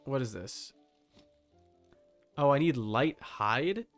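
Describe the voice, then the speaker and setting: A man's voice: mid-range voice, expressive, takes it slowly, soft background music. A man giving casual livestream commentary on a game, thinking aloud in slangy language with some swearing. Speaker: livestream host.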